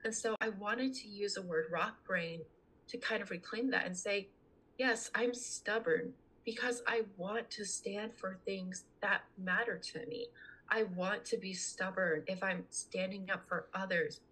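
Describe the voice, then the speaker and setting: A woman's voice: mid-range voice, a little slow, bright, forceful tone, husky, rough recording. A young adult woman talking casually as a podcast guest, earnest and chatty. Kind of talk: casual conversational interview talk.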